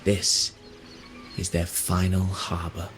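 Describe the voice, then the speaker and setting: Low-voiced, thoughtful seafarer. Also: male voice, monotone, slow pace, fairly bright tone, soft background music. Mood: solemn, wistful. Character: thoughtful adult male seafarer, earnest and wistful. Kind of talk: video game voice acting, narrative dialogue.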